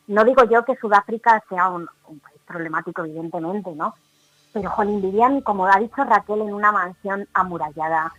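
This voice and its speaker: A woman with a mid-pitched voice chats casually as a radio co-host. She has an accent from Spain, and the sound is close and dry. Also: fairly bright tone, no room sound, low-quality recording. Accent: Spain Spanish. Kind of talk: casual chat.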